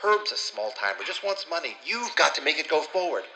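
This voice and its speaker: An actor playing an intense, pleading schemer in an audio drama, urging someone on insistently. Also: male voice, on the high side, very animated, brisk, vocal fry, pushed and bright, full character voice. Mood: urgent, pleading. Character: intense, pleading male schemer, secretly recording a wiretap. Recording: noisy, echoey surveillance-tape radio sound.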